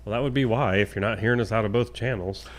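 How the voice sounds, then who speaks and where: A man's voice: lowish voice, fast talker. A man with an American accent chatting casually as co-host of a morning radio show. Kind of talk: casual chat.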